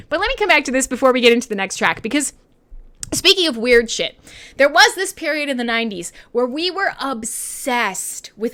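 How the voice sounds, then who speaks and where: Animated, bright-voiced Canadian woman. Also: fairly high pitch. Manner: sweary, sarcastic, animated, laughs often. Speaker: radio show host. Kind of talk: irreverent casual chat with live listeners.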